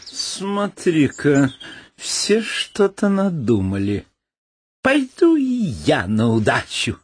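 An actor playing a bashful, hesitant suitor in a theatrical comic radio musical play. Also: male voice, on the high side, wildly swooping pitch, slow, a little hiss or hum, full character voice.

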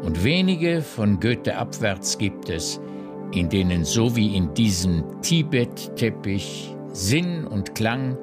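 A man playing a solemn literary critic, declaiming a printed tribute with deliberate emphasis in a radio drama. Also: on the low side, sing-song. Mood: solemn, admiring. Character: solemn male critic quoting his printed praise. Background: music.

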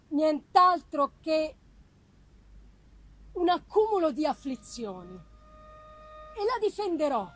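A wretched, created giant from a gothic tale speaks through a woman reader. The delivery is drawn out and deliberate, broken into short phrases. It is bitter and pleading, with a threat beneath it. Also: high voice, full character voice, rough recording. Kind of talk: dramatic audiobook-style reading of a gothic novel.

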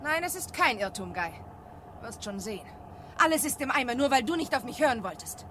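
Shrill, bitter blaming wife. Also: female voice, animated, fairly quick, a bit bright, slight background noise. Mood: bitter, dismissive. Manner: reproachful, whining. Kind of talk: 1970 German radio drama acting.

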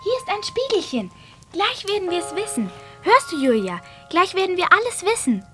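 Very high, excitable little girl. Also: normal speed, faint music behind, heavily acted. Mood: excited, insistent. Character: excitable young girl, insistent younger sister. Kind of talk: German radio play acting.

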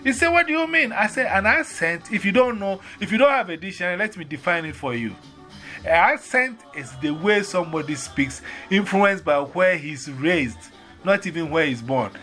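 A man's voice: sits high, lively intonation, normal speed, bright, forceful tone, faint music behind. A man from Ghana with a Ghanaian accent, telling stories in casual chat and acting out both sides of the conversations.

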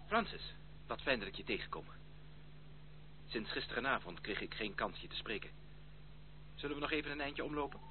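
Expressive gentleman suitor, played by an actor. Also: mid-range voice, a little fast, pauses often, close to an everyday voice. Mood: friendly. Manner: formal, gallant, expressive. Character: courteous, ardent gentleman suitor, tender and earnest. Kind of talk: Dutch radio drama acting. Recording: tinny, noisy old radio play recording.

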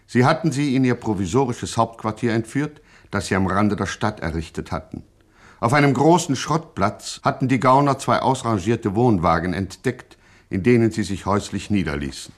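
An actor playing a pompous, deadpan narrator in a satirical gangster radio play. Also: male voice, lowish voice, normal speed, natural voice. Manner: grandiose, deadpan. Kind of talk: mock-solemn scripted radio drama narration.

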